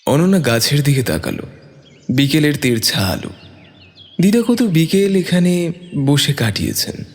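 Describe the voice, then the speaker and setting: Male storyteller, lively dramatic narration, mid-pitched, reverberant sound with music underneath. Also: a little slow.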